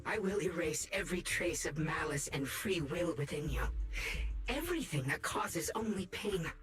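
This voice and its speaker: An actor playing a zealous game villain who preaches unity in a grandiose, coldly protective manner. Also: male voice, sits high, a bit bright, far from the mic. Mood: cold, resolute. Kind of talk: video game villain monologue.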